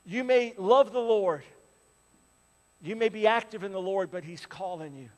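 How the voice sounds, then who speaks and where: An older male preacher in his sixties, his voice pitched high for a man. He swings from loud, ringing appeals to hushed pleading. The sound rings on in a large church hall. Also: moderate pace. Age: 60s. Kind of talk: sermon.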